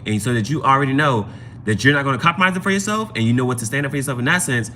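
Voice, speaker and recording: A man's voice: medium pitch, expressive, rapid-fire, pushed and bright, slight background noise. A man hosting a casual live tarot reading, giving advice conversationally and often repeating his phrases. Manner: conversational, repeats phrases and adds "you know what I'm saying".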